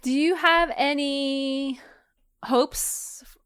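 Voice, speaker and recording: A woman's voice: high-pitched, fairly even intonation, drawn-out words, a bit bright. A woman hosting a podcast in a casual, giggly and informal interview style. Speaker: podcast host and creator.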